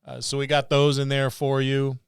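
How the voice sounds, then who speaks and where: Clear-voiced man chatting as podcast co-host. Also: mid-range voice, monotone, moderate pace, dry recording. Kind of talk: casual podcast chat about tabletop gaming.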